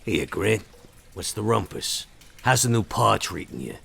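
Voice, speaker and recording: Low gravelly vampire enforcer. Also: male voice, very animated, a little slow, sharp tone, full character voice. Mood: mock-friendly. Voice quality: gravelly, raspy. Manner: wry, folksy old-fashioned slang. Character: sly gravel-voiced vampire enforcer, wryly taunting, man.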